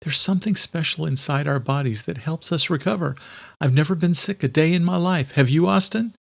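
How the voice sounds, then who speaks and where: A confident, sharp-minded woman, as a male narrator puts her on in an audiobook. The pitch is lifted, and the delivery is emphatic and insistent. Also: a little fast, vocal fry.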